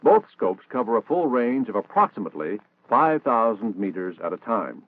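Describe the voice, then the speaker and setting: Male American narrator, formal and instructional, lively intonation, thin vintage band-limited soundtrack, 1960s military training film read. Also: fairly low pitch, moderate pace, narrow, tinny audio.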